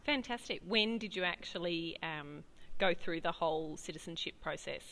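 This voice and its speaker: Woman, host, live interview, encouraging, bright voice, lowish pitch. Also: moderate pace, vocal fry, poor audio. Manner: encouraging, filler-heavy questions. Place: regional town in Australia.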